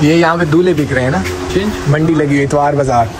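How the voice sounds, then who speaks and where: A man's voice: fairly high pitch, fast, over music. Playful young man with a Saraiki accent. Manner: playful, teasing, laughs often. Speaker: vlogger host. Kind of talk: joking street vlog banter. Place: outdoor courtyard.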